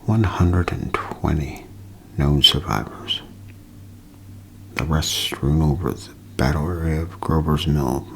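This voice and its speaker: A man playing a solemn radio news announcer in a 1930s-style drama, speaking in measured, halting phrases. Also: very deep voice, creaky, a little hiss or hum, exaggerated voice, poor audio. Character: grave male radio news announcer delivering disaster bulletin.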